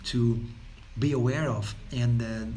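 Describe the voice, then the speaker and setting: A man's voice: mid-range voice, fairly even intonation, slow pace, dark tone, a little hiss or hum. A man from Portugal with a Portuguese accent, speaking about well-being in an unscripted talk, rambling along with many fillers and repeated words. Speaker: wellness speaker.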